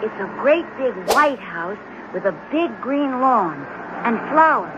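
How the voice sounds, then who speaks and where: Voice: medium pitch, animated, measured pace, close to an everyday voice. An actor playing an earnest, polite but insistent ten-year-old boy in an old-time radio drama. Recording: muffled, noisy old radio recording.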